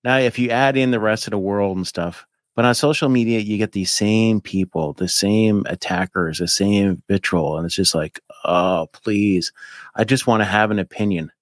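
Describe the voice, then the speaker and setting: A man in his fifties with a fairly low voice, talking as a podcast guest. He rambles, jumps between thoughts and fills gaps with "like" and "you know." The sound is dry and close, with little room behind it. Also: normal speed, dry recording. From United States. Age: 50s. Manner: rambling, animated, with many fillers. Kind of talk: casual chat.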